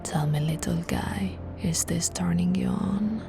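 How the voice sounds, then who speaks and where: A woman playing a possessive, seductive ancient vampire royal in an intimate ASMR roleplay, breathy and regal, often slipping toward a whisper. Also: on the low side, music in the background. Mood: smitten, teasing. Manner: slow, breathy, drifting into whispers, regal and doting.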